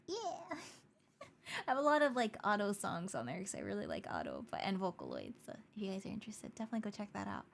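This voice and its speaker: A young woman streaming live, chatting casually with viewers in a bubbly, giggly way while her voice is going hoarse. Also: mid-range voice. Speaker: VTuber streamer. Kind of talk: casual livestream chat, switching between English and Japanese.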